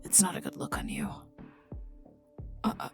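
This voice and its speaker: A woman playing a cold, sardonic, menacing female scientist in an ASMR roleplay, taunting the listener in breathy half-whispers. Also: rumbling low, normal speed. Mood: sardonic, coldly amused. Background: calm ambient music.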